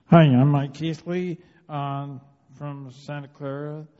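A man introducing himself at a club meeting, halting with many fillers. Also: a little high, flat delivery. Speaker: chapter member. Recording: muffled echoey hall sound.

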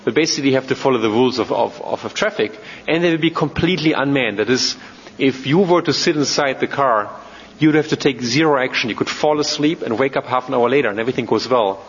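A man from Germany with a German accent gives an informal technical talk as a robotics researcher, speaking haltingly with many ums and repeated words. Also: medium pitch, slightly rough. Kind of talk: informal technical lecture to an audience. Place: large reverberant hall, speaking to an audience. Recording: muffled, noisy, reverberant hall.

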